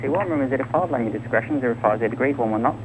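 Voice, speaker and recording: A man working as an air traffic controller, giving clipped clearances over aviation radio. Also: medium pitch, very fast, dull audio. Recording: noisy airband radio transmission.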